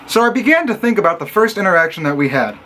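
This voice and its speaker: A young man from Illinois, a college student, telling stories in a casual vlog with animated sarcasm. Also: sits high, fast, slight background noise. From Illinois, USA. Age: young adult. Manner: animated and sarcastic, acting out others' lines.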